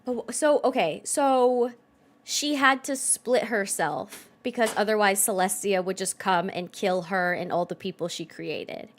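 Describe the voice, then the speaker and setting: A woman's voice: medium pitch, a little slow. A woman giving casual, reactive game stream commentary in a blunt, sweary, incredulous way.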